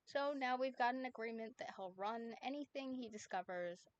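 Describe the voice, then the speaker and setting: A woman's voice: a little high, moderate pace, highs cut off, slight background noise, pitched above the performer's own voice, natural voice. A woman voicing a casual, evasive teenage boy in a fan fiction reading, with a slightly defensive manner. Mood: explaining.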